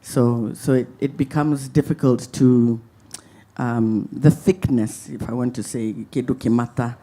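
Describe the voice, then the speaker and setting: Slow, dark-voiced man moderating. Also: mid-range voice, fairly even intonation. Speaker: Q&A moderator and playwright. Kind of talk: reflective panel talk.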